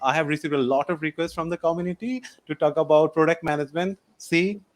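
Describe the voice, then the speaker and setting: A man's voice: on the high side, steady tone, fast. Hesitant man hosting a podcast. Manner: hesitant, frequent "uh". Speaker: podcast host. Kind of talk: casual podcast interview.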